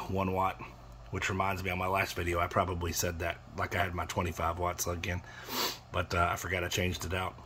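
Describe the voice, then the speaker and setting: A man with a fairly low voice walks through a piece of gear he is selling. He talks in a casual, matter-of-fact ramble, like an informal video demo. The phone recording has faint noise behind him. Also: fairly bright tone. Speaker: seller demonstrating used radio equipment. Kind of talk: casual product demonstration. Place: small indoor room. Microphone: phone held near the radio. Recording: clear background noise, handheld phone.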